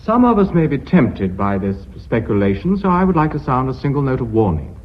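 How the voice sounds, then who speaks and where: An actor playing a cautious, principled company director in a radio drama, formal, clipped and grave. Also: male voice, medium pitch, very animated, brisk. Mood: grave, resolute. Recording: dull, muffled radio recording.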